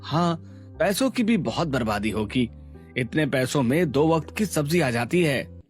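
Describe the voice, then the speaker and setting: A man's voice: a little high, lively intonation, unhurried, faint music behind. Man playing an easygoing Indian family father. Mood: agreeable. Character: easygoing, agreeable husband and father of a poor household. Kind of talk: Hindi cartoon story acting.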